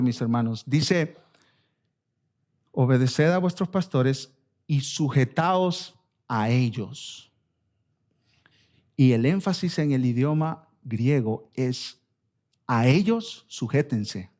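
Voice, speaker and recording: A man's voice: medium pitch, darkish tone. A man with a Latin American Spanish accent preaching an expository sermon as a pastor, emphatic and exhorting, repeating phrases and asking rhetorical questions. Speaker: pastor preaching to his church.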